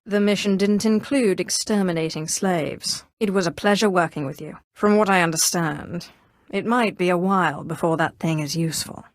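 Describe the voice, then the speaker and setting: A woman's voice: fairly low pitch, no room sound, clean studio sound. A woman playing a haughty, menacing Sith sorceress in scripted video game dialogue, sardonic and imperious. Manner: imperious, sardonic, crisply commanding.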